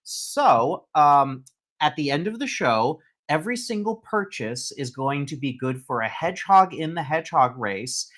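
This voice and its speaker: A man's voice: fairly high pitch, expressive, a little slow, fairly bright tone. A man hosting a live shopping stream, chatting casually and describing items for sale. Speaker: host of live shopping show.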